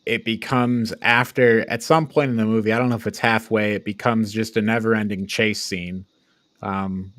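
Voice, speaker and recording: A man's voice: on the low side, a bit bright, no room sound. A man chatting casually as a critical podcast panelist, blunt and exasperated, swearing freely. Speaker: podcast panelist reviewing films.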